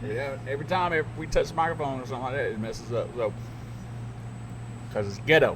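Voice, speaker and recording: A man's voice: mid-range voice, steady tone, fast talker, noisy background. A middle-aged man, a radio DJ, co-hosting a podcast and telling stories in rambling casual chat. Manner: rambling, laughs at his own stories.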